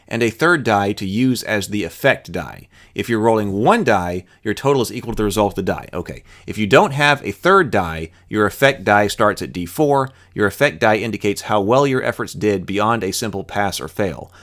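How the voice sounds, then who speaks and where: A man's voice: fairly low pitch, fairly bright tone. A man reading a tabletop game rulebook aloud as a tutorial host, explaining it and adding his own off-the-cuff remarks. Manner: explanatory, thinking aloud between passages. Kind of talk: read-aloud rules tutorial with off-the-cuff commentary.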